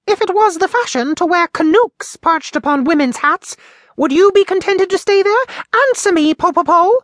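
A man reading aloud and voicing an indignant stuffed linnet that screams its questions, in a very high put-on voice. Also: moderate pace, fairly bright tone, dry recording, studio quality.

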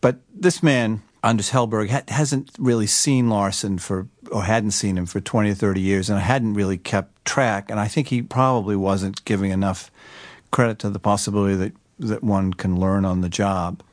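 Low-voiced man, dry close sound. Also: steady tone, moderate pace, dry recording. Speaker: newspaper writer, interview guest. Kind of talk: conversational explanation in an interview.

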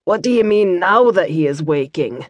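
Brief: fussy Scottish monk, prim and reproving, pitched low, as a woman narrator puts him on, storybook read. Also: normal speed, no room sound, muffled recording, natural voice. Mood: grumbling. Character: pious Scottish male monk, fussy and flustered.

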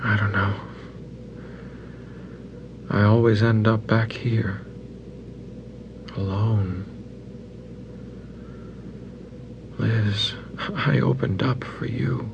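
Slow, gravelly lovelorn man. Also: fairly low pitch, fairly even intonation, muffled recording, noisy background. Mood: desperate, pleading. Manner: measured, deliberate, earnest. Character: moody, over-romantic lovelorn man voicing his letters. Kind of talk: dramatic audio drama monologue.

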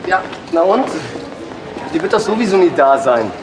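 A man's voice: high-pitched, sing-song, moderate pace, over music, rough recording. A young actor playing an earnest teenage boyfriend in a radio play, who pleads his case. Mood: casual. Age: teenage boy.

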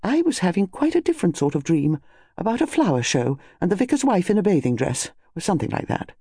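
A man voicing an excitable, firm and insistent upper-class English wife in an audiobook story. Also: high-pitched, fast talker, breathy edge, no room sound, studio quality, pitched above the performer's own voice, heavily acted.

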